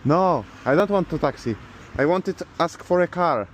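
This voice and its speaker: A man from Poland with a Polish accent, talking casually in halting, simple non-native English as a vlogger. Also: fairly high pitch, animated, a little hiss or hum. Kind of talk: casual vlog talk.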